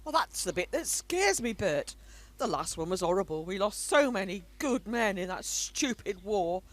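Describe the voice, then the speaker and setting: A woman's voice: medium pitch, sing-song, normal speed, lightly acted. An actress playing an anxious, caring older mother and grandmother in an amateur wartime radio drama, earnest and motherly. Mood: fearful.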